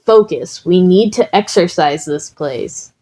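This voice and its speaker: Woman doing an angry teen hero boy, gruff n snappy, always picking a fight, story reading. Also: fairly low pitch, animated, takes it slowly, warm tone. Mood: irritated. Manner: gruff, combative, muttering and snapping. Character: hot-tempered, snarling teenage boy hero student.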